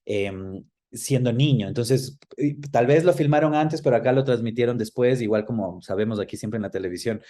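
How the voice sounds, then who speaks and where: A middle-aged man with an Ecuadorian accent, reminiscing conversationally as an interview guest and pausing to search for words. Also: medium pitch, warm tone. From Quito, Ecuador.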